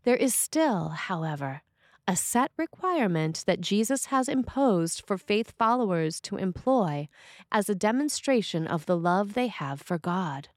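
Woman, medium pitch, scripted sermon, measured preacher's delivery, very little room sound. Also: big pitch swings, no room sound, studio quality. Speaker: preacher reading a written sermon.

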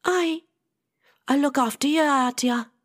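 A woman voicing a tender, emotional woman friend with gentle, simple dialect speech in an audiobook. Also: high-pitched, measured pace, pitched above the performer's own voice. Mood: soothing.

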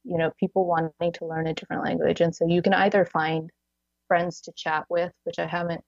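A woman's voice: on the low side, level tone, a little fast, gravelly, a little mellow, dry recording, highs cut off. A woman in her thirties chatting casually as an interview guest, filling her talk with "like" and frequent little laughs. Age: 30s. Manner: chatty, many "like"s, laughs between phrases. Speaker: podcast guest.

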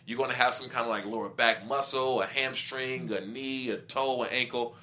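A man's voice: mid-range voice, steady tone, moderate pace, pushed and bright. A middle-aged man, a former professional football player, talking casually as a guest and explaining his views with examples and quoted asides. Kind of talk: casual sports talk.

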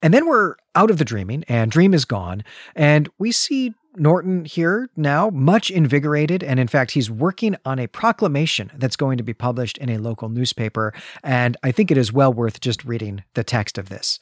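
A man's voice: medium pitch, animated, moderate pace, no echo. Articulate man co-hosting, dry close sound. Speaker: podcast co-host. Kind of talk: conversational literary commentary and plot recap.

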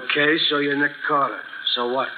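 A man's voice: fairly high pitch, fairly even intonation, brisk, phone-quality sound, lightly acted. An actor playing a surly, evasive small-time crook with slangy, defensive tough-guy talk in 1940s radio drama style. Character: surly, evasive male garage-running crook. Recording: noisy, band-limited 1940s radio recording.

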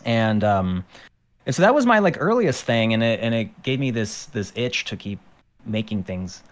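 A man's voice: lowish voice, big pitch swings, normal speed. A man from Ukraine, a guest on a podcast, chatting casually about his art career with lots of fillers and restarts. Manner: rambling, with many fillers and restarts. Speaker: guest, animator and musician. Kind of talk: casual interview chat.

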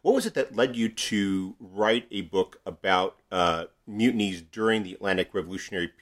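A man hosting a podcast interview, putting his questions in a measured, deliberate way with some stumbles. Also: on the low side. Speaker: podcast host.

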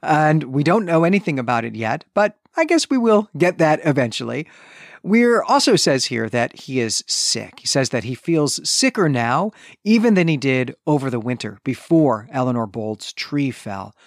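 A man talks as co-host of a book discussion podcast. His voice is medium in pitch and recorded dry. He explains in a conversational way, working out ideas aloud through long, winding sentences. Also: sing-song, moderate pace, no room sound. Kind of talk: conversational literary recap and analysis.

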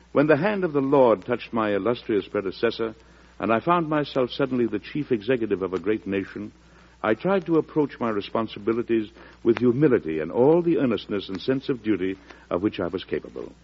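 An actor playing a shrewd, folksy US president in an unhurried, genial, oratorical manner, in old-time radio drama style. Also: male voice, medium pitch, big pitch swings, breathy edge. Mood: solemn, oratorical. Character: shrewd, folksy, wily US president, a seasoned father. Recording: muffled classic radio broadcast recording.

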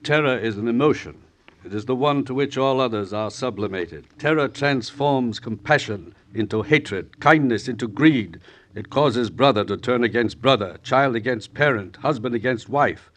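A man's voice: mid-range voice, a bit bright, lightly acted. A man playing a middle-aged revolutionary exile, intellectual and theatrical, in old radio drama style. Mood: cold, didactic. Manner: measured, didactic, theatrical. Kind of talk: 1950s radio drama acting.

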